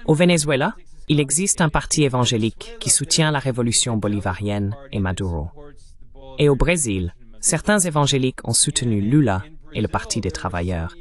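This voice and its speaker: A man delivering a voice-over translation of political commentary in a measured, explanatory style. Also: medium pitch, animated.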